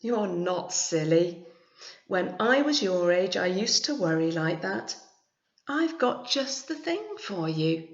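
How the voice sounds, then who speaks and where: A woman voicing a kindly, reassuring old grandma in a storybook, speaking slowly and with comforting emphasis. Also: lowish voice, expressive. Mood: kindly reassurance. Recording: echoey room, slightly muffled sound.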